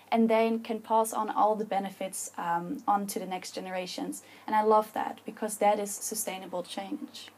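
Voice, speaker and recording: A young woman with a Dutch accent speaks earnestly to an audience and makes her case. Her voice is mellow and a little husky. She pauses on fillers and restarts as she goes. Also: mid-range voice, rough recording. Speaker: speaker giving a talk. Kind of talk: public talk to an audience.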